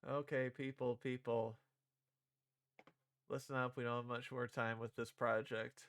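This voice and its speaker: A man's voice: medium pitch, little pitch movement, measured pace, fairly bright tone. A man reading visual novel dialogue aloud and performing the parts, with casual asides as a streamer.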